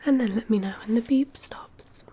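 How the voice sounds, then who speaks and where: A woman whispers softly and breathily in an ASMR medical exam roleplay, giving gentle, reassuring instructions as a doctor. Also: a little high, normal speed, husky. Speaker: ASMR performer role-playing a doctor giving a hearing test.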